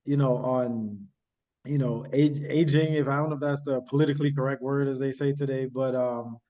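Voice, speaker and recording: A man's voice: mid-range voice, fairly even intonation, normal speed, mellow. A man hosting a counseling podcast, asking loose, rambling questions full of fillers. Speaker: podcast host. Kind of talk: casual interview hosting.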